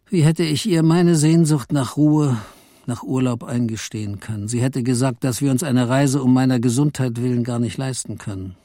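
An actor playing an embittered, henpecked office clerk in a radio play, brooding and theatrical as narrator and husband. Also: male voice, mid-range voice, mellow, no echo, studio quality, natural voice. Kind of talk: radio play, dramatic first-person narration and dialogue.

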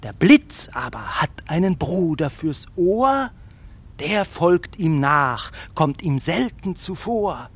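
A man's voice: on the high side, very animated, slightly rough, heavily acted. A man playing a plucky but anxious little fairy-tale tailor with theatrical delivery in a children's radio play. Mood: reciting solemnly. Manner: theatrical, deliberate when reciting.

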